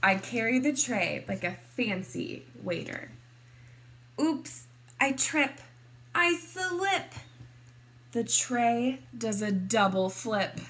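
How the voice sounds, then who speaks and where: A woman reading a picture book aloud expressively to kindergarteners, in a deliberate teacherly way. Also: on the high side, big pitch swings, a bit bright, a little hiss or hum. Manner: deliberate, clearly addressed to small children.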